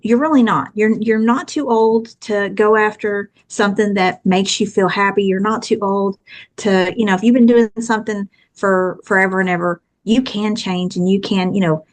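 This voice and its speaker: A woman in her fifties from Texas with a thick Texan accent, chatting earnestly at length as a guest in an interview. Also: mid-range voice. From Texas, USA. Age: 50s. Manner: earnest and chatty, long rambling answers. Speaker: guest author. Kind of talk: casual interview chat.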